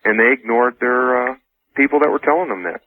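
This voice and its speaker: A man's voice: mid-range voice, brisk, no echo. A man speaking casually as an interview guest on a radio show. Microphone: telephone. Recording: tinny phone line on archived radio.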